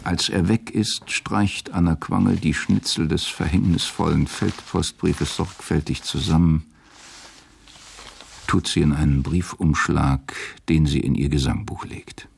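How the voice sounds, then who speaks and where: A man's voice: extremely low pitch, fairly even intonation, measured pace, vocal fry, slight background noise. A man giving scripted literary narration as the narrator of a radio drama.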